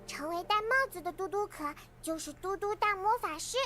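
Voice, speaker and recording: A woman voicing a cheerful, eager little girl adventurer in an anime video game, with childlike, earnest and expressive delivery. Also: very high-pitched, fairly quick, soft background music. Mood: earnest, proud.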